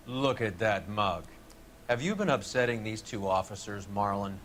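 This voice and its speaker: Smug, patronizing vice detective. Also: male voice, on the low side, lively intonation, moderate pace, a bit bright, a little hiss or hum. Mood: smug, threatening. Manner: sarcastic, patronizing. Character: smug, condescending crooked vice squad detective. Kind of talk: dramatic video game acting.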